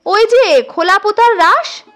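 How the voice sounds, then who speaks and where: A woman's voice: very high voice, animated, measured pace, fairly bright tone, pitched above the performer's own voice, heavily acted. A woman voicing a playful, caring, simple-hearted village sister-in-law in a storybook reading. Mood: proud. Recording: music under the voice.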